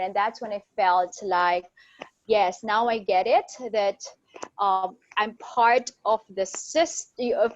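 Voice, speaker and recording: A woman from the Philippines speaks over a video call in a reflective, earnest way. The audio is dull and lo-fi. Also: fairly high pitch. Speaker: workshop participant.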